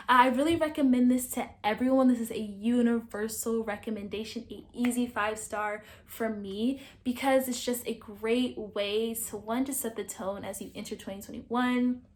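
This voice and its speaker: A young woman hosting a casual book review, talkative and animated, projecting a loud voice. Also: medium pitch, slight background noise. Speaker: book reviewer, channel host. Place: indoors, sitting against a wall.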